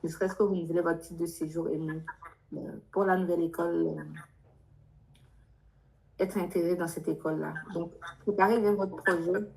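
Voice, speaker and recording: Low-pitched woman advising, directive. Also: steady tone, normal speed, creaky, long pauses, darkish tone, low-quality recording. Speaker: adviser leading a workshop for international students. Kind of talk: live advisory Q&A, spontaneous spoken explanation.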